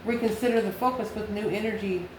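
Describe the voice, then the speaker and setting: A woman's voice: medium pitch, fast. Lady doing a casual tarot reading, asks herself stuff then answers, roomy echo and noisy background. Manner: musing, asks and answers own questions. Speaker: tarot reader and channel host. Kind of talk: informal tarot card reading, talking to the viewer. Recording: echoey room with steady background noise.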